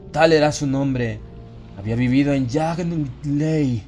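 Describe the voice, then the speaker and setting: A man's voice: mid-range voice, darkish tone, lightly acted. A man playing a haunted narrator slipping into exalted, fanatical madness in a solemn, theatrical horror audiobook. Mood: solemn, ominous. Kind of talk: dramatic first-person horror audiobook narration.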